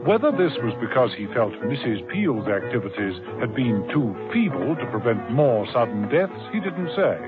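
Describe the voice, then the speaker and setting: Guy narrating old 70s radio drama, dramatic and wry, mid pitch, music under him, narrow tinny sound. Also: big pitch swings, moderate pace, hardly any pauses.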